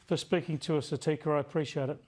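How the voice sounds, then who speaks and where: A man's voice: on the high side, steady tone, fast talker, a little mellow. A man interviewing a guest on a news programme in a conversational, sympathetic way. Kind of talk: broadcast interview.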